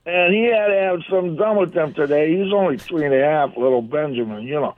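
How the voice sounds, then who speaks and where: This is an older man calling in to a radio show, his voice gruff and a touch high. He wanders from thought to thought in a folksy, easygoing ramble. The sound is narrow and tinny, like a telephone line. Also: a little fast. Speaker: caller. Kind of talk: casual phone-in chat.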